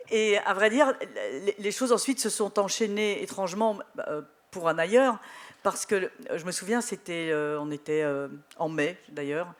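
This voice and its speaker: A woman from Belgium, a journalist guest on a festival panel, telling animated anecdotes with wry humor. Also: on the low side. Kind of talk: live panel conversation.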